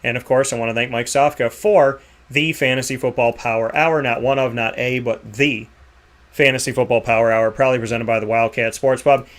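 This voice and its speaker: A man hosting a sports radio show, giving rambling, energetic shout-outs and sponsor plugs. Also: medium pitch, a little fast. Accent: Boston. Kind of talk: enthusiastic sign-off with sponsor plugs.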